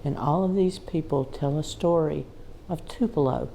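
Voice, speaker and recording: Woman guide, dark croaky voice, informative delivery, some noise behind her. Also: deep voice, moderate pace, poor audio. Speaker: museum tour guide. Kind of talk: explanatory guided tour talk. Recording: clear background noise, room ambience.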